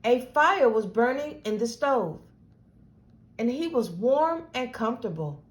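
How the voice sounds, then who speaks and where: A woman reading a picture book aloud to children as host, with deliberate, dramatic phrasing. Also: a little high, lively intonation.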